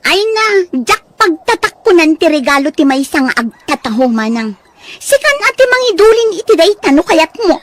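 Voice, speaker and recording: A woman's voice: very high-pitched, very animated, normal speed, dry recording. A woman playing a snobbish, snappish young sister in an Ilocano audio drama, with an Ilocano accent. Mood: sulky, dismissive. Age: young adult. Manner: snobbish, dismissive. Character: haughty, snappish young college-graduate sister, scornful of suitor.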